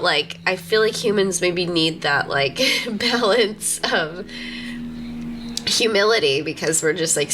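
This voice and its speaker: A woman in her mid-thirties chatting casually as a podcast host, musing in a halting way and often saying "like". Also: on the low side, noisy background. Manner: halting, filler-heavy musing with frequent "like". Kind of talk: casual conversation.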